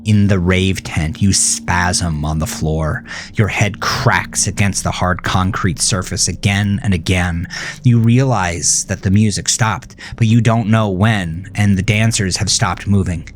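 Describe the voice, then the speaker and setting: Deep-voiced man narrating horror. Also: fairly even intonation, measured pace. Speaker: author narrating his own horror fiction. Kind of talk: dramatic second-person horror narration.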